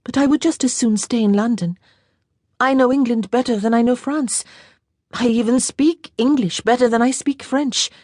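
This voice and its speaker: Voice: mid-range voice, moderate pace, a little mellow, no echo, clean studio sound, voice pushed up, lightly acted. A narrator voicing a playful, teasing young French girl in an audiobook, in a lilting, coquettish manner. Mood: wistful. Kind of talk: audiobook character voice.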